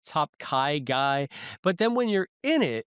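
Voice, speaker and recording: A man's voice: on the high side, sing-song, unhurried, a bit bright, clear, resonant tone, no echo, studio quality. A man co-hosting a podcast, chatting casually and musing through rhetorical questions in a review discussion. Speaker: podcast co-host. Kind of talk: casual review chat about a science fiction audio drama.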